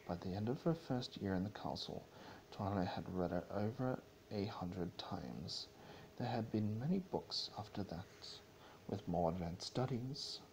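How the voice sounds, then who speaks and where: A man's voice: fairly low pitch, a little mellow, a little hiss or hum, low-quality recording. A man giving an amateur dramatic reading of a story, stumbling over and repeating words as he goes. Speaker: amateur reader of fan fiction, channel host.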